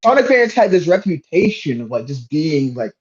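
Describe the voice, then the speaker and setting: A man's voice: on the high side, sing-song, normal speed, crowd noise. A young man in casual podcast chat, emphatic and slangy with frequent swearing, on a lo-fi mic. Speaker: podcast panelist. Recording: dull lo-fi mic.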